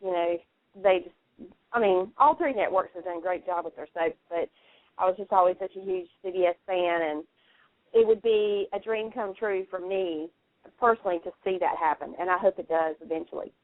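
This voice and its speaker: A woman's voice: fairly low pitch, moderate pace, no echo. A woman chatting casually on a radio talk show, effusive and opinionated, talking at length over a phone line. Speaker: radio show panelist and soap fan. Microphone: telephone call. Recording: narrow, tinny phone line.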